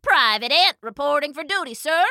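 A woman's voice: high-pitched, expressive, normal speed, sharp tone, no echo, clean studio sound, pitched above the performer's own voice, full character voice. A woman voicing a tiny, eager, dutiful male soldier ant with clipped military delivery in a children's story.

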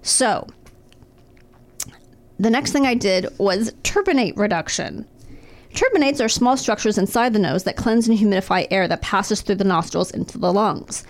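A woman's voice: medium pitch, normal speed. Woman co-host, sardonic and profane, reads medical notes aloud while recovering from throat surgery. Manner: wry, profane, deadpan asides while reading. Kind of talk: casual chat, reading medical info aloud.

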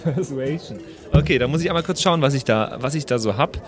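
A man's voice: medium pitch, fairly quick, crowd noise. A man playing a tabletop role-playing game, talking casually as he improvises his character's actions.

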